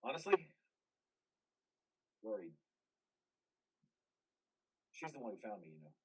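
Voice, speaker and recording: An actor playing a traumatized, bitter and weary combat veteran in a modern stage play reading, with a husky voice. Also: male voice, lowish voice, very animated, slow pace, a bit bright, full character voice, rough recording. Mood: ashamed, low.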